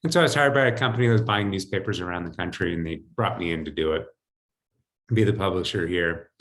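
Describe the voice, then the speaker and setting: A man's voice: sits low, fast talker, fairly bright tone. A man in his fifties with an American accent, telling personal stories conversationally as an interview guest on a video call. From United States, New Jersey. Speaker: podcast guest, financial advisor. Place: home, indoors. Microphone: video call computer mic.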